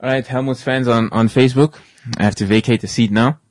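A man hosting live radio, relaxed and joking with guests and listeners. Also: medium pitch, brisk, no echo. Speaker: radio host.

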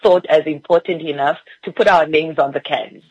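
A woman's voice: low-pitched, moderate pace, slightly rough, no echo, dull audio. A woman from Namibia, a PR guest, talking enthusiastically on a radio interview with fillers.

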